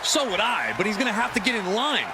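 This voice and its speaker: An actor playing a knowing, spirited wrestling colour commentator in a video game. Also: male voice, high voice, very animated, fast talker. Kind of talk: sports commentary. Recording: loud arena crowd noise.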